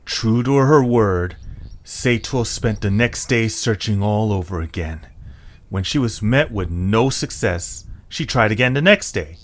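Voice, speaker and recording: A man's voice: on the low side, lively intonation, unhurried, a little hiss or hum. A man narrating a scripted story as its storyteller.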